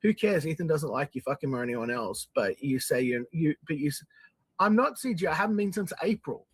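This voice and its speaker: Husky Australian man, sarcastic rant. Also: a little high, animated, a little fast, dry recording. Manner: sarcastic and defensive. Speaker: streamer played in a clip. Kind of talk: casual rant on a livestream.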